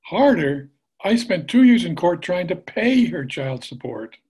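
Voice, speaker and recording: A man's voice: high voice, sing-song, unhurried, a little mellow, husky, exaggerated voice. A middle-aged actor playing a dad who gets defensive and indignant about his past, on a video call.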